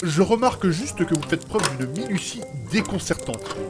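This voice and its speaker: An actor playing a prim, easily shocked gentleman in a comedic audio drama, speaking formally and preciously. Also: sits high, very animated, moderate pace. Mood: polite, reserved. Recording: music behind the voice.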